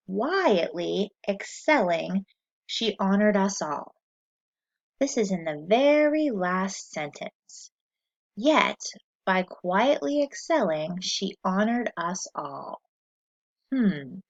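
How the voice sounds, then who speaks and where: A woman teacher reading a lesson aloud to children, slow and clear, pausing so they can answer. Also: medium pitch, lo-fi sound.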